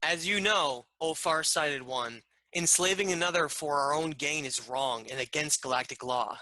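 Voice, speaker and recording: A man's voice: on the high side, moderate pace, pushed and bright, dry recording, rough recording. A man playing a principled, questioning alien goddess in a screenplay read-through, speaking formally and earnestly. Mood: earnest, cautioning. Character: principled, questioning alien goddess sister, respectful yet challenging.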